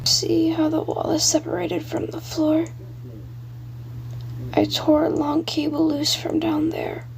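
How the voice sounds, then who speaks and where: A woman's voice: sits high, level tone, slow pace, gravelly, a little hiss or hum, pitched above the performer's own voice, full character voice, poor audio. A woman whispering as a ghostly paper boy. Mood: hushed. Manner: hushed, urgent whisper.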